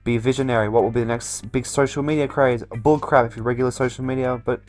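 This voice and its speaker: A man's voice: mid-range voice, steady tone, quick pace, darkish tone, soft background music. A man playing a video game, reading it out and commenting casually, often tripping over words. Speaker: gamer reading a dating game aloud. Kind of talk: playthrough narration with casual commentary.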